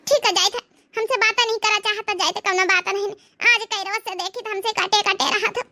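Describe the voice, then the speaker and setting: A woman's voice: very high voice, fairly even intonation, fairly quick, a loud crowd. A woman voicing dramatic, plaintive village housewives in a comedy cartoon, speaking with a rustic Awadhi accent. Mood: hurt.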